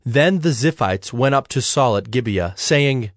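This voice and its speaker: A man narrating scripture in a measured, formal storytelling manner, reading from a script. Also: medium pitch, expressive, no echo, clean studio sound.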